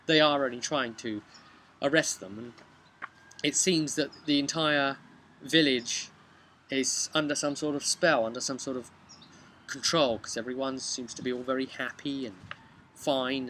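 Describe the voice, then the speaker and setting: Bright-voiced man chatting about tabletop gaming. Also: mid-range voice, moderate pace, slight background noise. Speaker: tabletop game master. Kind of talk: casual vlog recap of a role-playing session. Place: outdoors on a bench beside a brick house.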